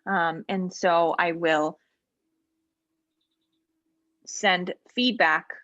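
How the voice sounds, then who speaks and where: A woman trainer patiently walking a practice client through online software during a role-play interview. She explains each step and often pauses with ums and short asides. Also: on the low side, fairly even intonation, dry recording, dull audio. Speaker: legal aid trainer. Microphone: video call.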